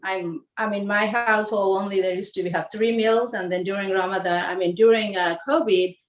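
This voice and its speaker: A woman in her forties from Bangladesh, explaining home recipes in a casual, halting way with lots of fillers and restarts. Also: medium pitch, steady tone, rough recording. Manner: hesitant, many fillers and restarts. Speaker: home cook guest. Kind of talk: casual cooking demonstration.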